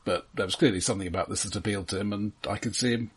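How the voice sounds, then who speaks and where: A man co-hosting a podcast, discussing films casually in a measured, analytical manner. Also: fairly low pitch, fairly even intonation, slight background noise. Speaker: podcast co-host.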